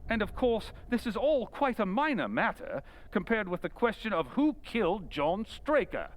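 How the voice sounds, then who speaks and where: A man playing a confident, clever gentleman detective with wry playfulness, brisk and theatrical, in an old-time radio drama. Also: high voice, very animated. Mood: assured, explaining.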